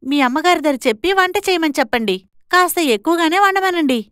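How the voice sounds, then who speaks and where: Woman voicing a high-pitched, defiant young sister-in-law. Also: expressive, fast talker, a bit bright, no echo, clean studio sound. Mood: defiant, indignant. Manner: assertive, scolding. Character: bold, defiant young twin sister standing up to her in-laws. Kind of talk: moral story cartoon dialogue.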